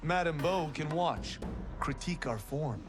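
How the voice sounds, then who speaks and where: An actor playing a brash, boastful young martial artist in a video game, teasing and punchy in delivery. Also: male voice, fairly high pitch, expressive, unhurried, over music. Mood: cocky, teasing. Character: cocky, brash young male martial artist, loyal and defiant. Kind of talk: video game cutscene acting.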